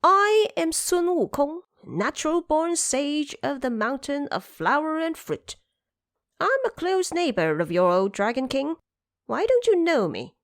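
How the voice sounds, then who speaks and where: A woman's voice: high voice, very animated, unhurried, no room sound, studio quality, pitched above the performer's own voice, exaggerated voice. A woman voicing a boastful, cocky male monkey king with proud, declamatory delivery in a fantasy audio drama.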